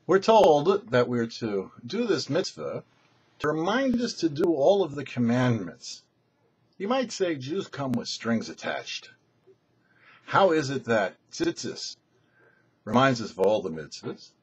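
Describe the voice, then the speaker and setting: A man with a markedly hoarse-edged, breathy voice presents a short religious teaching. His mid-pitched delivery is lively in melody. He speaks in measured, emphatic phrases, pausing between them like a practised teacher. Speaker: rabbi, show presenter.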